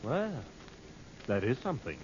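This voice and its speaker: A dull, noisy vintage radio recording of a skeptical male tourist. His delivery is animated and offhand, teasing and probing as in an adventure serial. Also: mid-range voice, a little slow. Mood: amused doubt. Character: breezy, skeptical male Western tourist, curious and prying. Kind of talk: old-time radio adventure serial acting.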